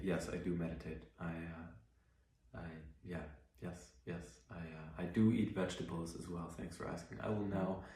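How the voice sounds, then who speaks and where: Songwriter, low voice, chatty and reflective between songs, heavy room echo. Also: male voice. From Iceland. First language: Icelandic. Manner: reflective, rambling storytelling with many fillers. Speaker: musician and songwriter. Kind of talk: casual livestream chat between songs. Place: living room. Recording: very echoey room.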